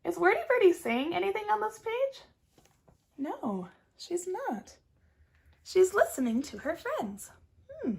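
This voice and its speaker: Female read-aloud teacher: high, lively, expressive, addresses children directly with questions. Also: slow, creaky, long pauses. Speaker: teacher reading a picture book aloud.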